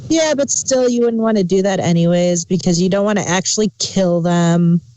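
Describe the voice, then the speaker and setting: A woman's voice: lowish voice, moderate pace, dark tone, poor audio. A woman bantering casually as a podcast co-host in a review discussion.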